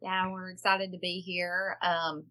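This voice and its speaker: A woman's voice: fairly low pitch, level tone, measured pace, pushed and bright. A woman from Alabama with a Southern American accent, chatting casually as a podcast guest. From Alabama, United States. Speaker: podcast guest, foster and adoptive mother. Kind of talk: casual chat.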